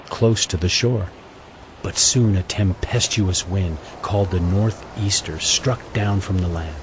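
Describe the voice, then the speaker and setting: Deep guy narrating a bible audio drama, solemn and measured, music playing behind him. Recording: music bed under the voice.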